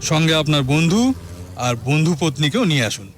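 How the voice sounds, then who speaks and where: A man's voice: a little high, lively intonation, moderate pace, soft background music, natural voice. A man acting a boastful, goading hunter-author in an audio drama, speaking Kolkata Bengali with an emphatic delivery and scoffing chuckles. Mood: persuasive. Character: boastful, needling hunter-author, married man, sceptical of mediums.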